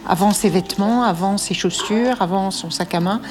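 A woman interviewed in a hair salon, speaking deliberately and repeating words for emphasis. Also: mid-range voice. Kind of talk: radio interview. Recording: loud hair dryer noise in salon. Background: hair dryer.

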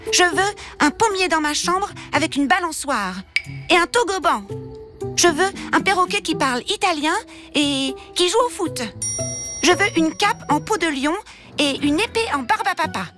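Une femme jouant un petit prince capricieux et criard. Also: female voice, lively intonation, normal speed, over music. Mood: whiny demanding. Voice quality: shrill, demanding. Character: capricious, tantrum-prone little boy prince. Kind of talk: storybook character voice.

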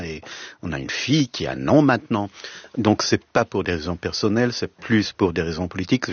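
Husky low-voiced man with a Hebrew mother tongue. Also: no room sound, dull audio. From Israel. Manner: halting, many hesitations and restarts. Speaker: writer and translator, guest. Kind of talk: reflective interview conversation.

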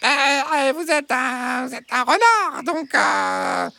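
A man voicing wary, excitable female hens in a comic animal story, hesitant and full of stammers. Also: very high voice, slow, creaky, voice pushed up, heavily acted. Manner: hesitant, stammering with filler sounds.

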